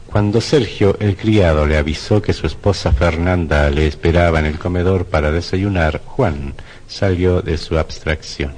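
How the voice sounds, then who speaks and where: A male narrator with a very low voice tells a radio drama story. His delivery is measured and literary, like scripted storytelling. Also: a little mellow.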